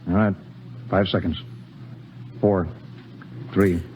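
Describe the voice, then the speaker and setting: An actor playing a commanding, practical spaceship captain in 1950s radio drama style, with clipped, authoritative delivery. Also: male voice, sits low, steady tone, takes it slowly, darkish tone. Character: commanding, practical spaceship captain, adult man, easily astonished. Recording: muffled, noisy 1950s radio recording.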